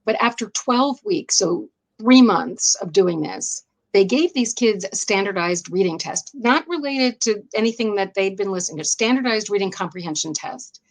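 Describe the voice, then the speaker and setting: A woman's voice: medium pitch, animated, moderate pace, rough recording. A woman with an American accent talking as an interview guest, explaining things thoughtfully and rephrasing as she goes. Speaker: interview guest, education writer.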